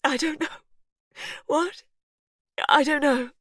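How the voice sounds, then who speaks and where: A woman's voice: high-pitched, fairly even intonation, slow, fairly bright tone, no room sound, clean studio sound, voice pushed up. A woman voicing a young woman in painful labour, confused and crying out. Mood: anguished. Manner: crying out, strained.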